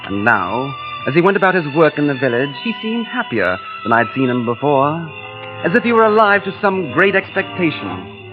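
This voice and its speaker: A reserved, politely clipped explorer tells his tale in a measured way. The sound is muffled like a vintage radio play, with music clearly heard under the voice. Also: fairly high pitch, very animated. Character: weary feverish male explorer, polite and reserved. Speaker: narrator and lead in radio drama. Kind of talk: 1940s radio acting.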